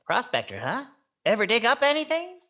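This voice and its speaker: A cheeky wisecracking dummy boy played by an actor, high and bright, with sarcastic 1940s radio comedy timing. His lines swing up and down over a noisy, clicking recording. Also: huge pitch swings, brisk, heavily acted. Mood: cheeky, eager. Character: wisecracking ventriloquist dummy boy, cheeky complainer, playing gold prospector.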